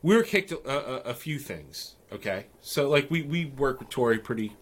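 A man's voice: mid-range voice, animated, moderate pace, husky. A man co-hosting a casual podcast, rambling and full of restarts as he reads sponsors and chats with a guest. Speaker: podcast co-host. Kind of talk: casual chat.

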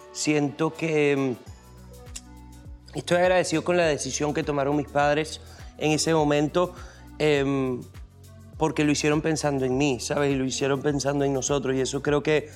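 A young man talking thoughtfully on a family podcast, pausing often with hesitant fillers. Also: a little high, little pitch movement, soft background music. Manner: thoughtful, hesitant, many ums. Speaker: son, guest.

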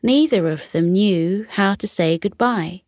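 A woman narrating a scripted children's bedtime story in a gentle, soothing manner. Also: mid-range voice, measured pace, dry recording, studio quality.

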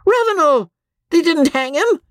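A woman voicing a raging older riverboat showman who shouts in a squeaky falsetto. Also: animated, a little slow, dry recording, clean studio sound. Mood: furious. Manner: sputtering, shouting in fury.

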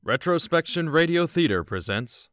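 A man's voice: fairly high pitch, lively intonation, moderate pace. A man hosting and narrating in the theatrical style of 1930s and 40s radio drama. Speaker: host and narrator. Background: music.

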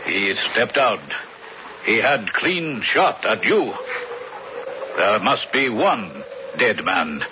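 Slow, solemn western tribesman. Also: fairly low pitch, sing-song. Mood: solemn, grave. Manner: measured, stilted formal phrasing. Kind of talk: radio western acting. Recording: dull, noisy old radio broadcast.

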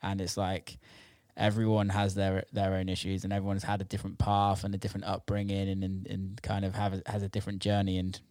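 A man with a low voice hosts a casual interview, speaking informally and with plenty of slang. The sound is dry and close, with very little room around him. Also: completely flat delivery, brisk, few pauses, a little mellow, dry recording. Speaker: interview show host.

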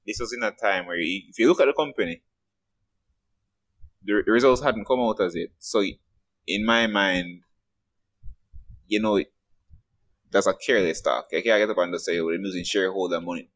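Male co-host, Jamaican accent, slightly low voice, colloquial and opinionated finance chat. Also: normal speed, long pauses, fairly bright tone. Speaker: podcast co-host reviewing stocks. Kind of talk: casual unscripted financial chat.

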